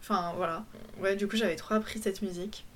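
A woman's voice: medium pitch, steady tone, fast talker, slight background noise. A woman in her twenties chatting casually, with many fillers and restarts and frequent laughs. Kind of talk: casual chat.

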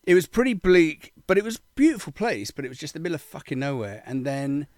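A man's voice: fairly high pitch, sing-song, fast talker, a little mellow, no echo. An English man in his fifties chatting casually as a guest, telling rambling stories and swearing freely. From England. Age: 50s. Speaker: guest musician. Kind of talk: casual podcast chat.

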